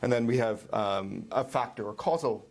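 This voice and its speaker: A middle-aged man from Ireland, a professor answering interview questions in a measured, explanatory way with frequent pauses and ums. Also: mid-range voice.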